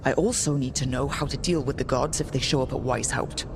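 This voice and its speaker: A man's voice: mid-range voice, steady tone, a little fast, noisy background, close to an everyday voice. A man playing an earnest young elven adventurer in a fantasy game, speaking plainly and directly. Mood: urgent, frustrated. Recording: music under the voice.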